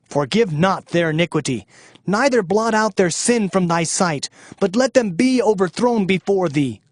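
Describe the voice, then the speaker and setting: A man's voice: high-pitched, lively intonation, moderate pace, no room sound, clean studio sound. A man playing an impassioned Hebrew prophet who solemnly proclaims doom and judgment in a dramatized scripture reading. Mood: bitter pleading. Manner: solemn, declamatory, emphatic. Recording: music bed under the voice. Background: music.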